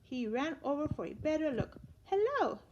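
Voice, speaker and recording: A woman teacher reading a children's story aloud in an expressive storytelling manner. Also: high voice, a little slow. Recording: dull lo-fi sound with light noise.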